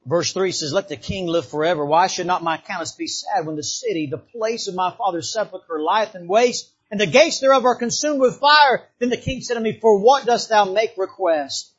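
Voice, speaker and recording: A man's voice: sits high, normal speed, hardly any pauses, slightly rough, lo-fi sound. A man from the Bahamas preaching a revival sermon in a church, fervent and repetitive, often calling out amen.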